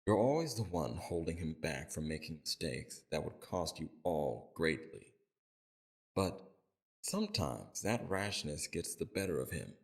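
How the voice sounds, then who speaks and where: Man acting out a very deep, gravelly, courteous villain. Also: big pitch swings, full character voice. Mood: calm, civil. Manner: civil, measured, softly probing. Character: calm, courteous villain leader interrogating a captive. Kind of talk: scripted audio roleplay.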